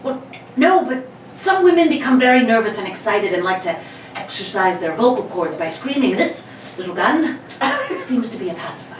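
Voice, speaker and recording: A woman's voice: a little high, lively intonation, normal speed, natural voice. A woman playing a suave, mockingly polite male burglar in a 1930s radio play, teasing and genteel. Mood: smug, teasing. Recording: roomy, distant mic, clear noise.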